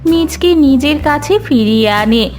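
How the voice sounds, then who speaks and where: A woman's voice: high-pitched, lively intonation, measured pace, darkish tone, faint music behind. A woman narrator reading a scripted cartoon fairy tale. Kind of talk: scripted children's story narration.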